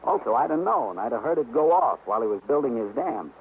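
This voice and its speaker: Muffled noisy old radio, plainspoken country fellow, earnest, suspicious, insistent, medium pitch. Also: male voice, big pitch swings, quick pace. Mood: suspicious, grave. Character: earnest, suspicious rural male friend of the detective. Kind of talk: 1950s radio drama acting.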